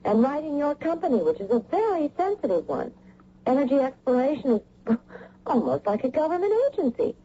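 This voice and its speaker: An actress playing a brisk, bossy, worried wife who presses her point in a radio drama. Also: high-pitched. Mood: insistent, concerned. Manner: bossy, insistent, animated. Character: brisk, insistent, worried wife in a spy mystery. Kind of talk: 1980 radio drama acting. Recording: muffled old radio recording, faint noise.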